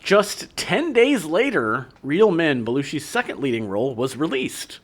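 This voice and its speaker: Lively man reading film facts. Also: fairly high pitch, a little slow. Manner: agreeable, reads dates and figures. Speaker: podcast co-host. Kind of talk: casual chat with read-out film facts.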